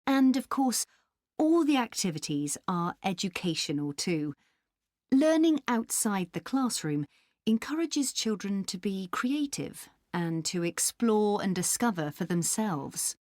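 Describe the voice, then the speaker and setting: A woman playing a helpful country park staff member in a scripted dialogue, explaining things deliberately. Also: medium pitch, very animated, darkish tone, dry recording, studio quality. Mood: helpful, informative. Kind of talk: scripted listening-test dialogue.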